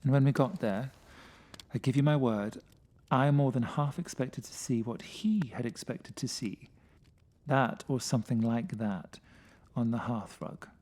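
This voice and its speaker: A man with a mid-pitched voice reading a first-person gothic story as dramatic narration. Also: measured pace, darkish tone. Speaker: story reader.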